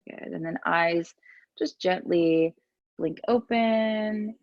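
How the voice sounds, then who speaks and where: A woman teaching yoga and meditation, giving gentle, soothing, unhurried guidance with pauses between instructions. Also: fairly low pitch, gravelly, no room sound, muffled recording.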